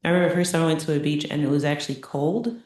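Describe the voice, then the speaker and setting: A woman with a low, dusky voice gives a relaxed, chatty meditation teaching. She keeps checking in with her listeners and laughs lightly between points. The sound rings around a very echoey room. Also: racing. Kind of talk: conversational dharma talk.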